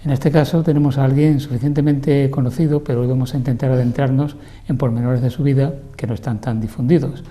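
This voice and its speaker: An older man hosts a TV interview show and guides the talk in a courteous, leisurely way. His voice is very dark and mellow, set at a middle pitch. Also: steady tone, quick pace. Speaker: TV program host. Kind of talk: interview host chat. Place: TV studio.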